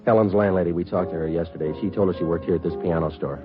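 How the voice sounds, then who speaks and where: A male actor plays a persistent detective sergeant in a vintage radio drama. His low voice fires out clipped, deadpan questions very rapidly, on a dull recording. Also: close to an everyday voice. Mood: probing. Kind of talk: 1950s radio police drama acting. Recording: dull old radio recording, faint music.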